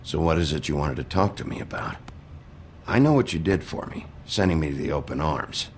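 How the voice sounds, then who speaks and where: An actor playing a gruff, brooding sheriff in a video game, terse and halting, with a gravelly voice. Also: male voice, very deep voice, expressive, slight background noise. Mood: probing. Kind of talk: video game dialogue acting.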